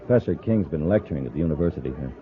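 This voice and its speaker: An actor playing a dutiful patrol officer, matter-of-fact and deferential, in 1950s radio drama style. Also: male voice, rumbling low, animated, rapid-fire, crowd noise. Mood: informative. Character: brisk, dutiful male patrol officer reporting to commander. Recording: tinny, noisy vintage radio recording.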